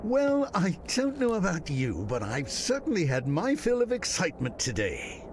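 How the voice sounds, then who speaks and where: A man's voice: high voice, huge pitch swings, moderate pace, noisy background. A man voicing a nervous, fussy, emotional chef in a cartoon video game, with a hesitant and dramatic way of talking. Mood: fussy, eager.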